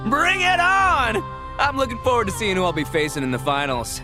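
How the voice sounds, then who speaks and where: A man voicing a brash, boastful male gang leader in a game, loud and swaggering with slangy talk. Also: very high voice, expressive, normal speed. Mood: cocky. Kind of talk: game cutscene voice acting. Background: game music.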